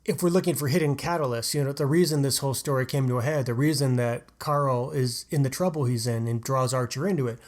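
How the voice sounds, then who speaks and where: A man's voice: mid-range voice, quick pace, few pauses. A man co-hosting a podcast, talking about a novel in a casual, earnest and analytical way. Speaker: podcast co-host. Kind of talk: casual book discussion.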